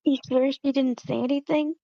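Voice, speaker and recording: A woman's voice: sits high, steady tone, normal speed, vocal fry, warm tone, no echo. A woman reading a scornful, self-righteous young creature schoolgirl in a screenplay table read, emphatic and dismissive. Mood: curious, snide. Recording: dull lo-fi call audio.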